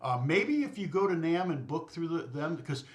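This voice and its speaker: A man's voice: fairly high pitch, big pitch swings. A middle-aged man, a guitar teacher, chatting casually and rambling with his live viewers, often stopping and restarting his sentences. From United States. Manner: rambling, self-interrupting, quick to laugh at himself. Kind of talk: casual livestream chat.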